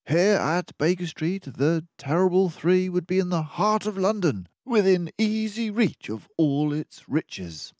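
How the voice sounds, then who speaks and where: A man voicing a brilliant, excitable, commanding mouse detective in a children's storybook, speaking in an emphatic, theatrical way. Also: high-pitched, measured pace, hardly any pauses, no room sound, pitched above the performer's own voice. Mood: indignant, urgent. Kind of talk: children's storybook read-aloud.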